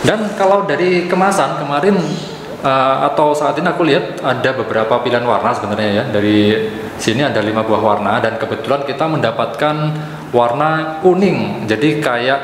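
A young man presents a gadget review in a casual, explanatory way. His voice sits at an average pitch. It is recorded in a very echoey room with long reverb and some faint noise. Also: moderate pace. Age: young adult. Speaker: product reviewer and channel host.

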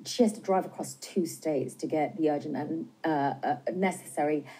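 Husky-voiced British woman, low and warm. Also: rough recording. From Britain. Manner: earnest, measured, some hesitations. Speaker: film director being interviewed. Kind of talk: studio interview answers. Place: TV news studio. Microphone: lapel.

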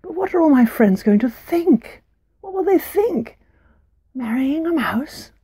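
A woman voicing a gentle, shy young man in a told folk tale, soft and hesitant. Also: high voice, animated, a little slow, no room sound, clean studio sound, pitched above the performer's own voice. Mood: worried.

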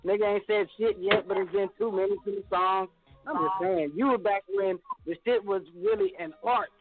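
A man's voice: sits high, normal speed, vocal fry, dry recording, faint music behind. A man co-hosting a casual radio show, talking bluntly and profanely in ranting bursts. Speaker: radio co-host. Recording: tinny phone-line sound.

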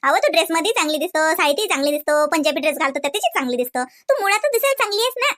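Woman doing fussy protective cartoon sister-in-law, really high voice, coaxing and insistent, varhadi marathi accent. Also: lively intonation, racing, fairly bright tone. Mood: reassuring. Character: reassuring, fussing sister-in-law, affectionate and protective. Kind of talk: comedy cartoon dialogue.

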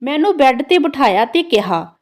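A woman's voice: high voice, very animated, moderate pace, darkish tone. A woman narrating a scripted first-person story in a dramatic storytelling manner.

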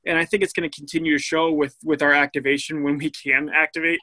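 A man speaks in a bright, forceful voice, pitched a little high, pushing his points emphatically while repeating words as he goes. The sound is dry and close. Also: little pitch movement, quick pace, no echo. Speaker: bourbon brand team member. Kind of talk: casual video-call interview.

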